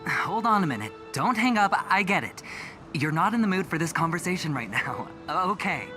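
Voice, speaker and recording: An actor playing a smug, smooth-talking young executive with a gambler's temper in a video game, teasing and theatrical. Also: male voice, high voice, very animated, normal speed, music in the background. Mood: needling. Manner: teasing, theatrical, self-satisfied.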